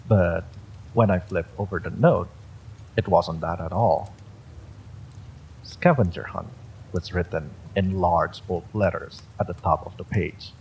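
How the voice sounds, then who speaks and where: A man's voice: low-pitched, unhurried, creaky, pauses often, a little mellow, a little hiss or hum, low-quality recording. A man reading a first-person story aloud as a dramatic narrator. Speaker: story reader.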